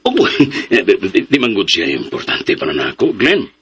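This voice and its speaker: An actor in a radio drama playing a smug, pushy, jealous man who teases and scoffs in a theatrical, drawn-out way. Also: low-pitched, huge pitch swings, fairly bright tone, slight background noise, exaggerated voice. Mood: flustered, defensive.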